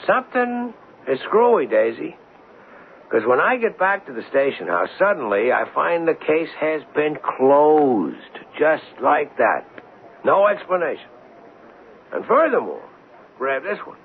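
An actor playing an eager, slangy police detective in a 1970s radio drama, insistent and brash. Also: male voice, fairly high pitch, big pitch swings, measured pace. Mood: insistent, puzzled. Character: eager hunch-driven married police detective, adult man. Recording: muffled, lo-fi, noisy old radio broadcast.

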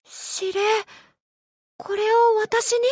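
A woman's voice: very high-pitched, little pitch movement, extremely slow, darkish tone, dry recording, clean studio sound, full character voice. A woman voicing a young anime shipgirl, formal toward her commander, in game voice lines. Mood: pleased, flustered.

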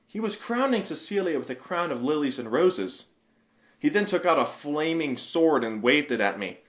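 A man's voice: a little high, big pitch swings, moderate pace. A man playing a zealous, newly converted young Roman husband in an audio drama, earnest and emphatic. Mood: awed, sheepish.

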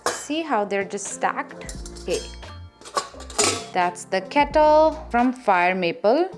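A woman's voice: mid-range voice, animated, slow pace, over music. A woman vlogger talking casually through her packed bags, listing items one by one with small fillers along the way. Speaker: vlogger showing her packing. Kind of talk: casual show-and-tell.

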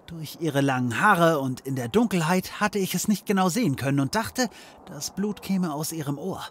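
An actor playing a flippant, sarcastic private detective in a comedy audio drama, joking and theatrical. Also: male voice, fairly high pitch, expressive, normal speed, fairly bright tone, a little hiss or hum. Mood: joking, then serious.

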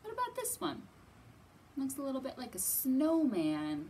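A woman in her thirties presenting a children's storytime as a librarian, talking simply to small children with drawn-out words and thoughtful hums. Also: sits high, huge pitch swings, a little mellow, a little hiss or hum. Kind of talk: children's storytime teaching, read-aloud. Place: indoors in a library.

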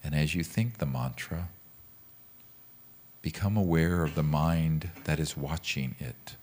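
A man leading a guided meditation as a teacher, speaking in a calm, gentle, measured way with pauses. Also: very deep voice. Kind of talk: guided meditation with mantra instruction.

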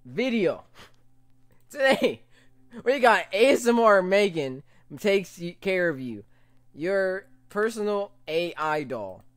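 A young American man giving casual, slangy reaction commentary in a joking, animated way. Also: high voice, slow, no echo. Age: young adult. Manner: jokey, animated, slangy, often laughing. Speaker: reaction video host. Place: small room, indoors. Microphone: desk condenser mic with pop filter.

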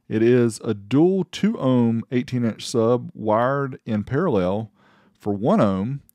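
A man's voice: medium pitch, a little mellow, no room sound, studio quality. Middle-aged man reviewing car audio gear. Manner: chatty, explains specs step by step. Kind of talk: casual product review. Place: outdoors on a driveway, later inside a vehicle.

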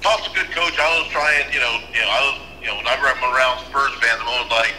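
A man from San Antonio, Texas, talks in a relaxed, unhurried way as a wrestling trainer being interviewed. His voice comes over a noisy, echoey remote connection, with steady hiss behind it and a roomy ring on every word. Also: mid-range voice. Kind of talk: casual interview talk.

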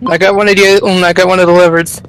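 A man's voice: high voice, flat delivery, quick pace, fairly bright tone, slight background noise, low-quality recording. A man chatting casually during a team game, reporting his moves in a matter-of-fact way. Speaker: gamer in a group online horror game. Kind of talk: casual gaming chat.

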